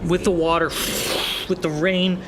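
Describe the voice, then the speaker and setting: A man's voice: sits high, little pitch movement, a little slow. A man acting as game master, narrating a fantasy tabletop game among friends. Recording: clear background noise and ambience.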